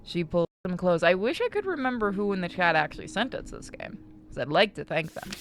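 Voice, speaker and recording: Streamer reading out a visual novel n chatting casually, sweary, medium pitch, bit of music under it. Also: big pitch swings, a little fast, fairly bright tone. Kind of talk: casual livestream chat and read-aloud game narration. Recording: music behind.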